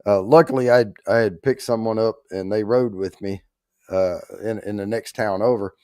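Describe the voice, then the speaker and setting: A man with a rough, hoarse, worn-out voice, hosting a livestream in rambling, folksy casual chat while trying not to get loud. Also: lowish voice, fairly even intonation, moderate pace, no echo, studio quality. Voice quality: rough, strained voice. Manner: rambling, folksy, holding back volume. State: hoarse, worn out after allergy attack. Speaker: host of a whiskey giveaway livestream.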